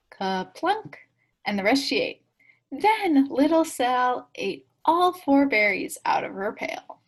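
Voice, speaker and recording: A woman reading a children's story aloud expressively, enunciating deliberately and acting out the sound words and dialogue. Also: sits high. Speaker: storybook reader.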